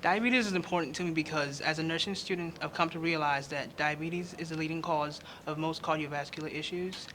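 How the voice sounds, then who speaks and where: Bright-voiced young man, earnest and halting. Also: on the high side, steady tone, slight background noise. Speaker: nursing student. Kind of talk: on-camera interview. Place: state house conference.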